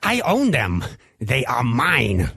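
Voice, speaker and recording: A man as a husky, excitable alien shopkeeper. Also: medium pitch, slow, no room sound, full character voice. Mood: indignant, protesting. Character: cagey alien shopkeeper and slave owner, pleading and indignant. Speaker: store clerk. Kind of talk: hard-boiled sci-fi audio drama acting.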